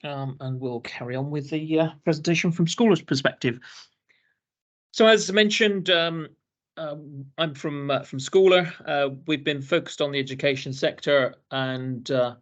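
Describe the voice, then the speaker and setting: A man from the UK presenting a business webinar, pausing often with ums and uhs. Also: fairly high pitch. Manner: hesitant, many ums and uhs.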